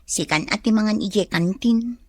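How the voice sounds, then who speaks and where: A woman's voice: mid-range voice, moderate pace, darkish tone, no echo, lightly acted. An actress playing a broke young working woman in an Ilocano comedy radio drama, chatty, exclamatory and whiny. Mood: pleading, bantering.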